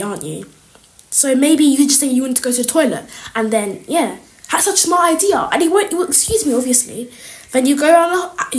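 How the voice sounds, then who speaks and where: A woman playing a scheming, cliquey schoolgirl in an improvised school role-play, chatty and slangy. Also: sits high. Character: scheming, cliquey schoolgirl plotting against teachers.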